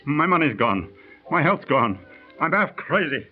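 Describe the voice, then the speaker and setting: An actor in a 1930s radio drama playing a nervous, ruined card-sharp gambler who pleads and stammers. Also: male voice, fairly high pitch, very animated, measured pace, soft background music. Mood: pleading. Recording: tinny 1930s radio transcription.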